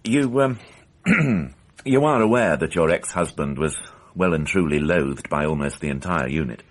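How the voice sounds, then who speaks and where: A man playing a dry, persistent police inspector in a radio mystery drama, measured and insistent yet courteous. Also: very deep voice, expressive, heavily acted. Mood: probing.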